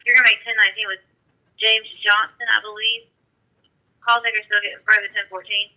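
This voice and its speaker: Guy with a high very sharp voice dispatching over police scanner, clipped and by the book, lo-fi radio sound. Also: little pitch movement, a little fast. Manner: clipped, procedural.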